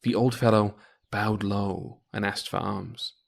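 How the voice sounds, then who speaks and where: A man narrating an old folktale in a measured, theatrical storytelling manner. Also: fairly low pitch, flat delivery, creaky. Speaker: guest narrator.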